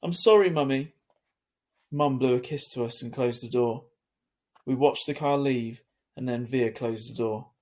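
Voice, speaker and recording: Man narrating a storybook, medium pitch. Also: fairly even intonation, moderate pace, mellow. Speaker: teacher reading aloud.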